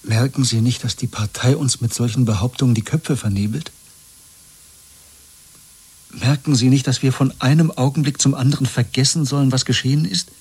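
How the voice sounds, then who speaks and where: Younger rebel, breathy husky tone, mid register, earnest and urgent, 1970s radio play. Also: male voice, medium pitch, fairly even intonation, moderate pace, darkish tone. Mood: urgent, insistent. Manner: urgent, pressing, intense. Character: earnest, insistent younger man, secretly rebellious, weary.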